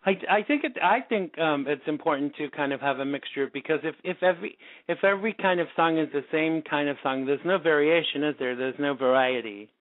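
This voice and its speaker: A man's voice: on the high side, a little fast, no echo. A male podcast host in a casual interview chat, talkative and self-deprecating, laughing often. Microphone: call-in radio show line. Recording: tinny phone-line sound.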